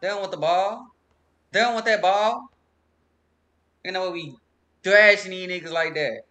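A young man from Texas streaming a basketball video game on a headset mic, trash-talking loudly with lots of profanity. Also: sits high, normal speed. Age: young adult. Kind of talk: live gameplay commentary. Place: indoor room at home.

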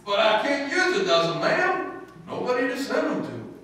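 A folksy radio-comedy cowboy, put on by a man, with a hearty mock Western accent. The voice is raised high and swoops about brightly, heard across a very echoey room. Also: big pitch swings, normal speed, faint music behind, heavily acted. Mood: folksy, stubborn. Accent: mock American Western. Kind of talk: old-time radio comedy acting. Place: stage in a hall before a seated audience. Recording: very echoey room, distant camera microphone.

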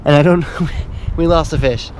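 A man banters and teases in an easy, joking way, his voice slightly higher than usual for a man. He is outdoors by a river, picked up by an action camera with plenty of background noise. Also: moderate pace, darkish tone. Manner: teasing, laughing banter. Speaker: angler filming his brother. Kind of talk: casual joking chat.

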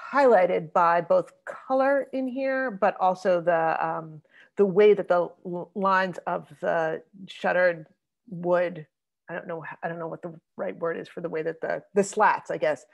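A woman moderating an online group discussion over a video call, speaking thoughtfully with many pauses and fillers. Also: lowish voice, gravelly. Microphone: computer mic on a video call.

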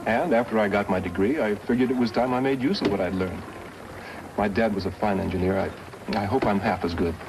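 A man playing a polite, earnest, idealistic young mining engineer in a 1950s radio western, speaking a little haltingly. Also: fairly low pitch, music in the background, natural voice. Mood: sincere, hopeful. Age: young adult. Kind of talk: 1950s radio drama acting. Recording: slightly noisy 1950s radio recording.

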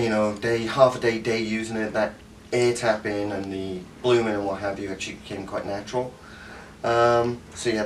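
Cardiff Welsh man, enthusiastic, distant mic. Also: fairly low pitch. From Wales. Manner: enthusiastic, rambling with fillers, gesturing. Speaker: tech reviewer. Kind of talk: casual product review. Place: home living room. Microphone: camera mic from a few metres. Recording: distant camera mic, faint room noise.

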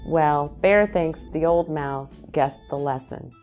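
A middle-aged woman hosting a children's storytime, reading aloud in a deliberate way and speaking directly to young listeners. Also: low-pitched, darkish tone, faint music behind. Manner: deliberate phrasing, addressing child listeners with questions. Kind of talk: slow, animated picture-book read-aloud for young children. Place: small indoor set with bookshelves, seated in an armchair.

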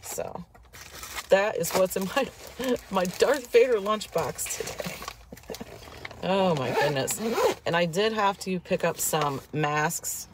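A woman in her fifties rambles cheerfully to a dash-mounted phone while driving, laughing between asides. Her voice sits a little low and sounds slightly stuffy from a cold. Steady car and road noise runs clearly underneath. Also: slow, pauses often. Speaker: vlogger. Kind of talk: casual chatty vlog. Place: inside a parked and moving car. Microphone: phone camera on the dashboard. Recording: car noise audible behind voice.

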